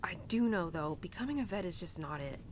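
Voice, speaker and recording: A woman's voice: on the low side, lively intonation, fairly quick, a little hiss or hum, natural voice. A young woman playing an earnest, rambling cowgirl who confides in her horse in an intimate roleplay audio, hesitant and giggly, with stammers and restarts. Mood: frustrated, self-doubting.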